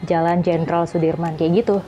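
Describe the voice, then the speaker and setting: A woman's voice: on the low side, steady tone, brisk, lo-fi sound, background noise. A woman hosting a casual, chatty educational explainer in a playful, jokey, colloquial way. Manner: playful, jokey, acts out little dialogues to illustrate points. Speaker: host of an aviation explainer channel.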